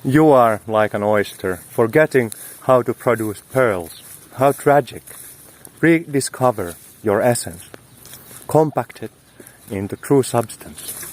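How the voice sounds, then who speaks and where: A man speaks as the host of a scripted spiritual talk. His voice sits at a medium pitch. He is grave and oratorical, setting out each short phrase with deliberate weight. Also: lively intonation.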